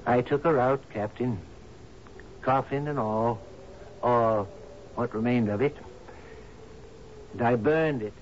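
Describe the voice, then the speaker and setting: Radio play role: male actor as anguished, deferential confidant to a captain, unhurried, on a noisy vintage broadcast. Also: mid-range voice, muffled recording, lightly acted. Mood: haunted confession. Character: anguished, deferential friend confessing to his sea captain. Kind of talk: radio drama acting.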